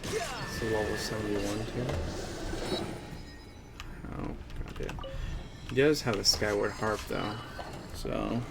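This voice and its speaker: A young man doing casual gameplay commentary, muttering short, low-key reactions as he plays. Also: big pitch swings, slow, gravelly, pauses often, heavy background noise. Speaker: gaming streamer. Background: game music.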